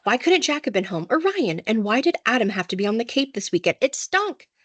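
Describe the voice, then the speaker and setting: Woman reading as an animated, protesting young boy. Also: on the high side, fairly quick. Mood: grumbling. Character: young boy Evan, weary but upbeat, protesting.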